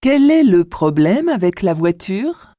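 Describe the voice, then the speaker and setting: A woman narrates a language exam, reading scripted questions. Her delivery is slow and her tone dark and mellow, recorded very dry. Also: mid-range voice, very animated, dry recording.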